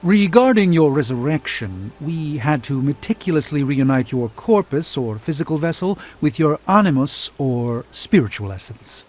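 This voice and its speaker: An actor playing a pompous, learned sorcerer in a video game, speaking in a grandiose, lecturing way. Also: male voice, on the high side, animated, normal speed, few pauses. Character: pompous, theatrical, learned male sorcerer and mystic. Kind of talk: theatrical video game dialogue. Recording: thin phone-like band, music behind.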